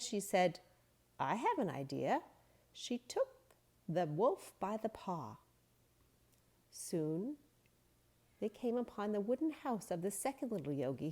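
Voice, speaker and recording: Woman reading to kids aloud, slow and gentle, very lively pitch. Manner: gentle, expressive, unhurried. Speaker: storybook reader. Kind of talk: children's story read-aloud.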